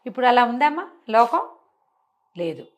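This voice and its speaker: A woman's voice: fairly high pitch, very animated, moderate pace. A woman giving a conversational moral talk, teaching emphatically and often posing rhetorical questions. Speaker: storyteller explaining moral teachings.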